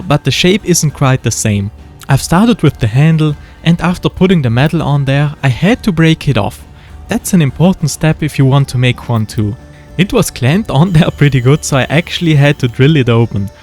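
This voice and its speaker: German guy talking over his craft build, bit higher voice, dry jokes, soft music under it. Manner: relaxed and wry, with dry jokes. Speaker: model-building video creator. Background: faint background music.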